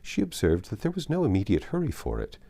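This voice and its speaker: A man voicing a gently teasing mother of forty in a period novel, with formal, genteel speech. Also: on the low side, wildly swooping pitch, fast, vocal fry, pitched above the performer's own voice, full character voice. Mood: measured, reflective.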